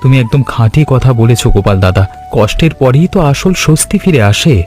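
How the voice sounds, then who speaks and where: A man's voice: mid-range voice, lively intonation, a little fast, darkish tone, soft background music, natural voice. A man playing an earnest, agreeable village farmer and loyal friend in a cartoon story. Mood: determined. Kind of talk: Bengali cartoon voice acting.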